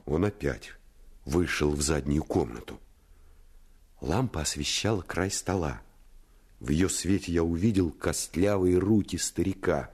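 An actor playing an earnest first-person narrator of a boyhood adventure in a radio play, expressive and suspenseful. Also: male voice, deep voice, a little slow, slightly rough. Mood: hushed suspense. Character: grown male narrator recalling his boyhood adventure, earnest.

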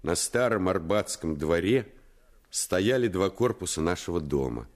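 A man narrating a radio play as a grown man calmly recalling his boyhood in scripted storytelling. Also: low voice, a little slow. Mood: reminiscing.

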